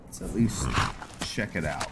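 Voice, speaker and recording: Slow, deep-voiced man thinking aloud. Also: expressive, very noisy. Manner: low-key, thinking aloud. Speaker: gameplay host. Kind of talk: casual video game commentary. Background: music.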